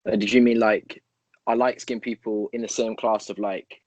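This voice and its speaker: Low-voiced man, rambling podcast co-host. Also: level tone, normal speed, darkish tone, no room sound. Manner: rambling, thinks aloud with many "like" fillers and hypothetical examples. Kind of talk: casual conversational interview.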